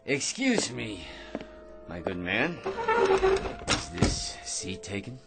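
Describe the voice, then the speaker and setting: An actor playing a self-important, talkative loser at a bar, pompous and stammering, in a radio drama. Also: male voice, on the low side, big pitch swings, slow, music in the background, exaggerated voice. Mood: huffy. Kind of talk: old-time radio drama acting.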